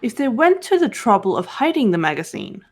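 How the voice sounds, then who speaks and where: A woman voicing a calm young investigator who reasons through a case in a measured way. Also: medium pitch, gravelly, husky, soft background music, heavily acted. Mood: cool. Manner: measured, logical.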